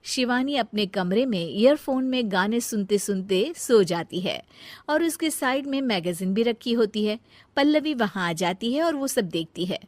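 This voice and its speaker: A woman's voice: a little high, moderate pace, no echo. Woman narrating a cartoon story. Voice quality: plain, even storytelling.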